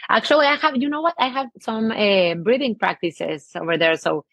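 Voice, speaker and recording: A woman from Mexico with a Mexican Spanish accent, a yoga teacher speaking as a guest in a casual interview. She talks gently and searches for words, often restarting with "I mean". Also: fairly high pitch, moderate pace, vocal fry, no room sound.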